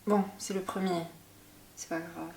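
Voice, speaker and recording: A woman's voice: lowish voice, steady tone, a little slow, a little mellow, a little hiss or hum. Playful woman in an echoey kitchen. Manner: playful, self-mocking. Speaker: cooking vlogger. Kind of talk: joking home cooking vlog. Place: home kitchen. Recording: echoey room with background music. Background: background music.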